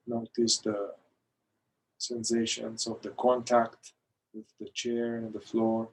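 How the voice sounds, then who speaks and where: Slow, husky man guiding meditation. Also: medium pitch, low-quality recording. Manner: calm, gentle, pausing between short phrases. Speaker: meditation guide, somatic therapist. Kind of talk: guided meditation.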